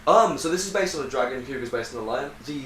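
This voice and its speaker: A man's voice: medium pitch, lively intonation, fast, fairly bright tone, distant mic. A young man chatting casually with friends as he hosts, explaining things like an enthusiastic fan and swearing freely. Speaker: host of a friends' tier list discussion. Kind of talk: casual chat among friends. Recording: echoey room, off-mic, background noise.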